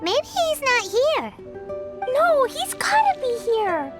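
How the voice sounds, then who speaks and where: A woman voicing an excitable, playful little girl in a video game, with a childlike, bouncy manner. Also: extremely high pitch, takes it slowly, loud music behind the voice, heavily acted. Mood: eager, curious. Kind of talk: video game character acting.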